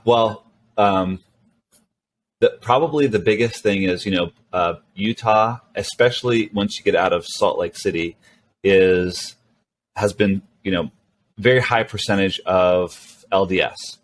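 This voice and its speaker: A man's voice: low-pitched, takes it slowly. A middle-aged man, a startup co-founder and CEO whose first language is Spanish, talking as a guest in a casual interview with plenty of fillers and restarts. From Venezuela, raised mostly in California. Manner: relaxed, rambling with many fillers and restarts.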